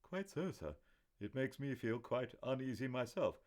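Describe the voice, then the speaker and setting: A man's voice: medium pitch, big pitch swings, normal speed, darkish tone, pitched above the performer's own voice, lightly acted. A man voicing a stiff, proper older butler who speaks with formal, deferential politeness.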